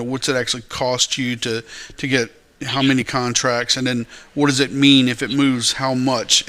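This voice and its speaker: A man's voice: medium pitch, pushed and bright. A man co-hosting a trading talk show, asking long rambling questions full of fillers. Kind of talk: casual talk radio.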